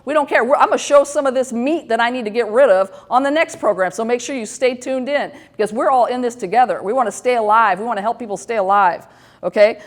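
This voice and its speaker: A woman's voice: a little high, quick pace. Candid joking woman in her fifties. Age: 50s. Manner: candid, self-deprecating, rambling with many fillers. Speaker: host. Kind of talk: casual talk show monologue.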